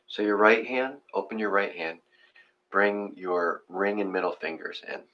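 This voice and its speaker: A man casually giving step-by-step guided meditation instructions in an informal, gently encouraging way. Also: lowish voice, a little slow, muffled recording.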